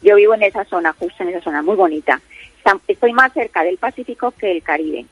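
A woman's voice: medium pitch, fast talker, breathy edge, no room sound. A middle-aged Colombian-born woman with a Spain Spanish accent, chatting as a caller, talkative with many fillers and restarts. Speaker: listener caller. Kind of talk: casual phone chat. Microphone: phone line. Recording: dull lo-fi phone line.

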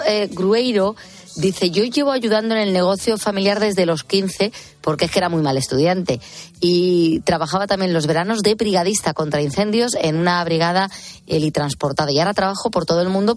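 Lowish-voiced Spanish woman on radio. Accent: Spanish, from Spain. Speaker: radio co-host. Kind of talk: reading listener messages on air.

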